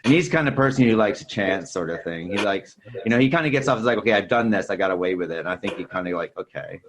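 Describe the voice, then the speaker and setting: Low-voiced rambling man on a podcast. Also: animated, fast, slight background noise, rough recording. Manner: rambling, repeats "sort of thing" and "you know". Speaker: podcast co-host. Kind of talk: casual film discussion.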